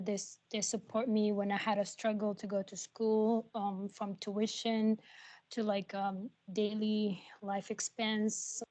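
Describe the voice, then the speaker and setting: A young woman whose first language is Arabic, telling her story on a video call in halting English full of pauses. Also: mid-range voice. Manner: halting, many ums, soft and earnest. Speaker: nursing graduate.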